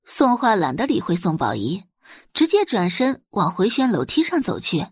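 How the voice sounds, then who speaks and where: A woman's voice: mid-range voice, wildly swooping pitch, moderate pace, husky, no room sound, clean studio sound. A woman narrating an audio novel in a dramatic, scripted storytelling style.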